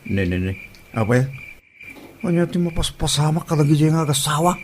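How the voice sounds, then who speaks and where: Complaining village husband male actor, Ilocano accent, comic drama style, lively swooping delivery on the higher side. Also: brisk, a little hiss or hum, lightly acted. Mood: puzzled. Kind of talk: Ilocano comedy drama acting.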